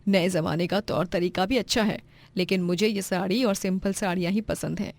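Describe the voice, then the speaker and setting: A gentle old widow who answers calmly and mildly, as a woman voices her. Her voice is recorded close and dry, in a cartoon story. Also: fairly low pitch, normal speed, creaky, no echo. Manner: calm, mild. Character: gentle old widowed mother-in-law, simple and patient.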